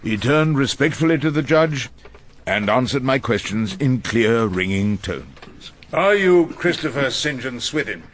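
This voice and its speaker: Man acting veteran English barrister, wry, irascible, theatrical, animated intonation, radio drama, faint noise. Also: mid-range voice, measured pace, natural voice. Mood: probing. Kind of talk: radio drama narration and courtroom questioning.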